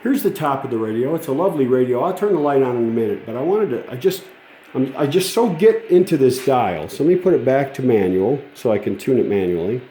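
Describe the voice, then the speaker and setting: Male radio restorer, informal and keen, soft mellow tone, casual phone-shot demo in a live-sounding room. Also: medium pitch, animated, quick pace, slight background noise. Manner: enthusiastic, folksy, informal. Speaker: radio restorer giving a demonstration. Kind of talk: casual hands-on demo chat. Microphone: handheld smartphone camera. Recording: roomy phone-camera sound. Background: vintage radio playing music.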